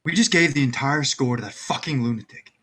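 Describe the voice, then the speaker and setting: A man's voice: mid-range voice, brisk, sharp tone, breathy edge. A man acting a frustrated, wary heist partner in a crime screenplay table read. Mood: exasperated.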